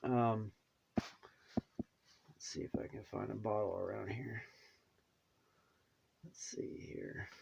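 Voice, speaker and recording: A man vlogging in a rambling casual monologue, halting with many restarts, his voice dropping to mumbles and then perking up again. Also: mid-range voice, gravelly, background noise.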